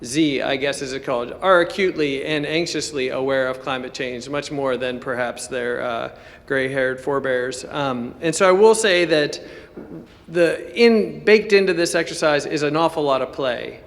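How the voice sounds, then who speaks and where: A man's voice: medium pitch, gravelly. A man giving an informal conference talk as a college teacher, conversational, often breaking off to rephrase himself. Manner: conversational and self-interrupting, with halting rephrasing. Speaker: college teacher presenting at a symposium. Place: indoor lecture hall at a symposium. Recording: reverberant hall sound.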